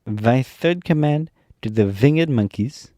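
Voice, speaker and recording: A man's voice: mid-range voice, expressive, dark tone, dry recording, studio quality, pitched above the performer's own voice. A man playing a stately good witch. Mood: gracious. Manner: stately, slightly raised and slow. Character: good witch, gracious and commanding woman.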